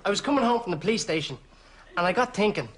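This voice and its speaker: A young Irish chancer pitches away in an earnest, matter-of-fact sitcom patter. He is cocky and none too bright. There is clear background noise from the room. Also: expressive, fast. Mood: cheeky, scheming. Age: young adult. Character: cocky, dim young petty-crook schemer. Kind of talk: sitcom acting.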